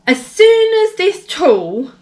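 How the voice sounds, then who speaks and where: A woman with a hoarse, gravelly voice telling a personal story in a casual vlog, rambling with many fillers and restarts. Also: very high-pitched, very animated, slow pace, a little mellow. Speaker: vlogger.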